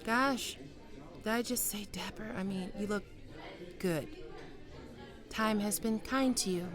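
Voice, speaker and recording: Woman acting a slow, hesitant, wistful ex-lover. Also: medium pitch, a crowd in the background, natural voice. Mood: wistful, uneasy. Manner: hesitant, halting, with sighs and trailing off. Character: flustered, wistful, proud writer meeting her former lover. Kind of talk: intimate ASMR roleplay acting.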